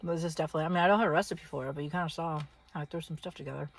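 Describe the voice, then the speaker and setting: A woman's voice: low voice, steady tone, rapid-fire, slight background noise. A woman chatting casually and informally to viewers as the host of a travel vlog, upbeat and chatty. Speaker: travel vlogger, channel host.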